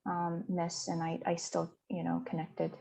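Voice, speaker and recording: A woman's voice: on the low side, completely flat delivery, measured pace, gravelly, mellow, slightly rough. A woman from Mongolia, speaking as a conference panelist in a hesitant, reflective way with many fillers and restarts. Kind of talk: reflective personal talk.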